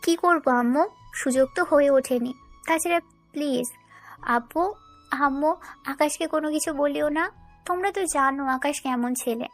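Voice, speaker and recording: Young female confidante, caring and scheming, high voice, romantic audio story woman performer. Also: moderate pace, pauses often, faint music behind. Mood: pleading, planning.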